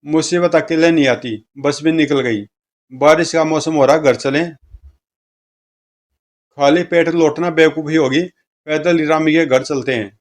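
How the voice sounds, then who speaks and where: A man's voice: a little high, fairly even intonation, unhurried, long pauses. A man reading comic strips aloud as a story narrator, speaking the dialogue of each character. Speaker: comic book reader, narrator.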